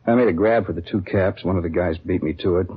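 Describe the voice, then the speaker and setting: Low, husky beat cop. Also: male voice, quick pace. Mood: guarded, matter-of-fact. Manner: casual, matter-of-fact police slang. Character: plain-spoken, guarded male beat patrol officer. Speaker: actor in a radio police drama. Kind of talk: 1950s radio acting, conversational dialogue. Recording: dull, lo-fi old radio recording.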